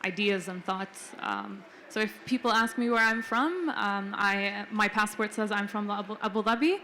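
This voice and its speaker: Give me a young woman speaking reflectively on a panel, bright tone, faint background buzz. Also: medium pitch, moderate pace, a little hiss or hum. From Philippines-born, raised in Jordan, Kenya, India. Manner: reflective, frequent um fillers. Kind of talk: panel discussion answer. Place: book fair panel stage.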